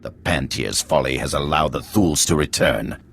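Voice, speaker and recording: An actor playing an arrogant, boastful, defiant usurper in a video game. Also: male voice, rumbling low, moderate pace, creaky, a bit bright, slightly rough, heavily acted. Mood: indignant, boastful. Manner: haughty, boastful. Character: arrogant, defiant middle-aged male usurper of a throne.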